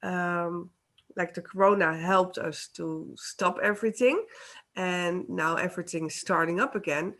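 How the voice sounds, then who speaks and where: A woman speaks slowly and haltingly, reaching for English words with many pauses. Her voice sits a little low for a woman. She talks casually over a video call. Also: fairly bright tone. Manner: hesitant, searching for words, laughs often. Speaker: co-host, healer. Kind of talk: casual chat.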